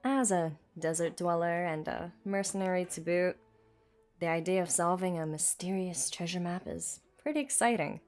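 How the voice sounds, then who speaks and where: A woman's voice: fairly low pitch, moderate pace, a little mellow, soft background music, close to an everyday voice. A woman voicing a frank, casual desert mercenary in an amateur game dub, explaining things in a conversational, reflective way. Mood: reflective, candid.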